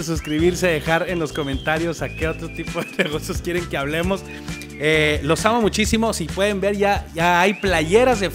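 Male host, thirties, Mexican accent: excited, slangy, fairly high voice, close mic, light music. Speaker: host of a prank call show. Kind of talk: casual video sign-off. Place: small studio room. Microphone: close boom microphone.

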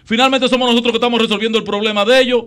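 A middle-aged Dominican man with a high voice makes his case on a talk show panel. He is insistent and repeats phrases to drive each point home, speaking into a studio mic. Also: steady tone, very fast, a bit bright, smooth. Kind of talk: panel debate. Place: radio studio. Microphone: studio microphone.

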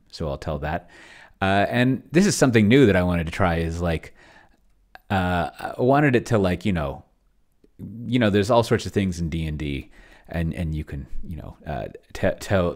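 A middle-aged man giving a casual, unscripted walkthrough as a host, rambling and often interrupting himself in an offhand way. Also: sits low. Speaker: host of a tabletop roleplaying tech channel. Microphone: desk microphone close to the mouth.

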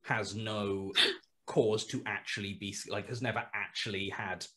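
A man in his thirties talking on a video call through a headset mic, rambling with many restarts and animated asides. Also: on the low side, normal speed. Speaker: guest, horror podcast writer. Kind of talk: casual video call interview. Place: small home room indoors.

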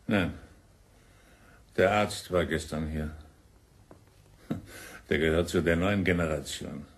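Low, sombre male relative. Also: slow. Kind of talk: crime radio play acting.

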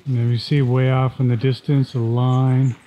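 A man's voice: mid-range voice, flat delivery, normal speed, dark tone, dull audio, a little hiss or hum. A middle-aged man chatting casually outdoors as a travel vlogger, with a dry, teasing manner. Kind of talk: casual vlog chat. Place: outdoors on open beach and boat.

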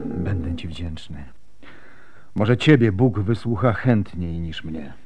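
Husky, intense soldier husband. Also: male voice, sits low, expressive, slow, darkish tone, exaggerated voice. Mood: subdued. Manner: earnest, intense. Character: desperate, devoted young soldier husband with a temper. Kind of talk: Polish radio drama acting.

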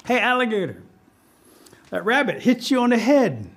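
A man voicing a crafty, eager little trickster rabbit in an oral folktale, with a coaxing, animated manner. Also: very high voice, normal speed, pitched above the performer's own voice, full character voice. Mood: sly.

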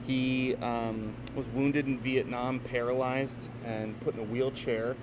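A man from Northern California, an artist being interviewed outdoors, speaking in a relaxed, storytelling way with many uhs. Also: medium pitch, slow. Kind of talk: casual on-street interview. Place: outdoors on a city street. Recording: dull, noisy street audio.

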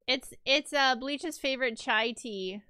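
A woman's voice: sits high, a little slow, pushed and bright, no echo, clean studio sound. A woman livestreamer chatting casually with her viewers, a little stuffy from a cold, rambling with fillers and restarts.

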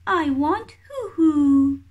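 A small, fussy kiwi chick from a storybook, played by a woman. His voice is squeaky-high and swoops around as he whines insistently. The words come out slow and plaintive. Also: lively intonation, darkish tone, highs cut off, exaggerated voice. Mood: whiny, stubborn. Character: fussy, whiny baby kiwi chick, male. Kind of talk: children's storybook read-aloud.

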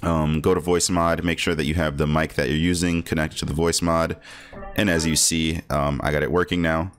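A man hosting a casual software tutorial, talking informally and repeating words for emphasis. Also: very deep voice, flat delivery, normal speed, clear, resonant tone, soft background music. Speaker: tutorial host.

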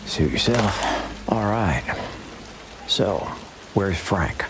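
An actor playing a curt, determined cowboy gunslinger with an American accent in a video game. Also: male voice, fairly low pitch, very slow, exaggerated voice, poor audio. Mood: businesslike. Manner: curt, dry, stubborn. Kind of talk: video game cutscene acting. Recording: loud rain noise behind voice.

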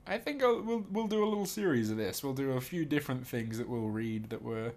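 A man's voice: medium pitch, lively intonation, brisk, darkish tone. A man casually reading aloud and commenting as host, amused and giggly, swearing now and then.

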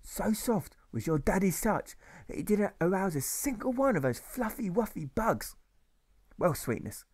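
A man's voice: fairly high pitch, darkish tone, pitched above the performer's own voice. A man voicing a doting female Sunday school teacher who tells a child a story in cooing, sing-song baby talk.

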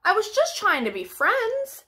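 A woman voicing an eager, excitable, naive storybook dog with childlike exclamations. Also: extremely high pitch, unhurried, voice pushed up, heavily acted. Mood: eager, puzzled.

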